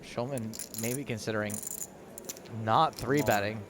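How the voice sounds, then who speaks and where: A man's voice: fairly low pitch, a little slow, background noise. A man commentating on poker hands on a live webcast, a playful co-host who cracks jokes.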